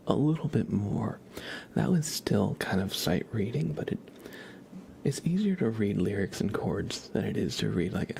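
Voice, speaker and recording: A soft-spoken man chatting casually on an ASMR livestream, drifting in and out of a whisper. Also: lowish voice, gravelly.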